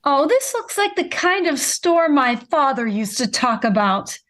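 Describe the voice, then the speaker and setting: A woman's voice: high voice, very animated, measured pace, a bit bright, heavily acted. A woman playing a practical, fretful mother in an old-time radio family comedy. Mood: motherly.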